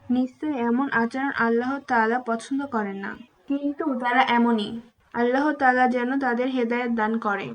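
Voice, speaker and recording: A woman's voice: on the high side, steady tone, normal speed, close to an everyday voice. A woman voicing a schoolgirl classmate in an Islamic cartoon, in a dull lo-fi recording. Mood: friendly, pious. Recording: dull lo-fi audio, music behind. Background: music.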